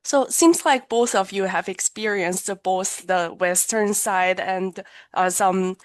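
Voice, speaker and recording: A woman hosting an interview, asking questions in a calm, careful way. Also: medium pitch, slow pace, no echo. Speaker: interviewer, podcast host.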